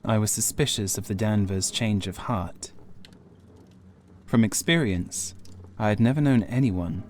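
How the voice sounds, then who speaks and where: A man reading a first-person gothic horror audiobook in a measured, solemn storytelling manner. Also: lowish voice, darkish tone, slight background noise. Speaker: audiobook narrator.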